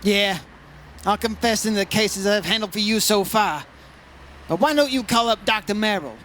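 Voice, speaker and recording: A man's voice: sits high, moderate pace, fairly bright tone, a little hiss or hum. A man playing a wry, teasing insurance investigator in an old-time radio detective play. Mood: needling, wry. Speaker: lead actor in a radio play.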